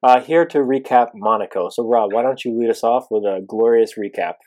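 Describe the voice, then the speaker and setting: A man co-hosting a podcast in casual, jokey chat, his voice a little hoarse. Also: medium pitch, a little fast, darkish tone. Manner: jokey and chuckling. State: a bit hoarse. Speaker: podcast co-host.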